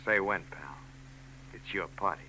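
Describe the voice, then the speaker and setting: A man's voice: low-pitched, unhurried, sharp tone, a little hiss or hum, exaggerated voice, low-quality recording. An actor playing a cold, taunting bald killer in a 1940s radio drama, sneering and full of tough-guy slang. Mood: menacing. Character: bald murderous male gunman, cold and taunting.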